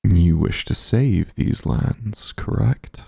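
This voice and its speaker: A smug, possessive demon lord played by a man for a fantasy ASMR roleplay. The voice is low and very dark, thick with gravelly fry. He speaks without hurry, softly commanding and condescending. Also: slow pace, no room sound, studio quality, full character voice. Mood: coaxing, persuasive. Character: powerful demon lord, calm, smug, possessive, bargaining villain.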